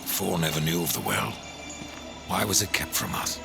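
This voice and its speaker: A grim rider of death from a fantasy game, with an extremely low, gravelly voice. He is terse and dry, yet his pitch swings with menace. Loud cinematic music plays behind him. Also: sing-song, normal speed, heavily acted. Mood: grim, suspicious. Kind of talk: dramatic game cutscene acting.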